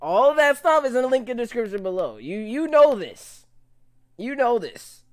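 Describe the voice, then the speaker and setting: A young man reacting casually to a video in a small room at home, excitable and often repeating himself. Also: extremely high pitch, normal speed. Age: young adult. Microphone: studio microphone with pop filter.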